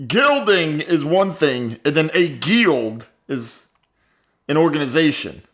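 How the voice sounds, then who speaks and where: A man's voice: on the high side, sing-song, slow, a bit bright. A man hosting a comedy podcast with improvised banter.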